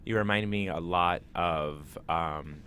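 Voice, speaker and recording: A man's voice: deep voice, slow pace, pushed and bright, a little hiss or hum. A man co-hosting a radio talk show who keeps up bawdy, joking asides in casual chat. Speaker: co-host.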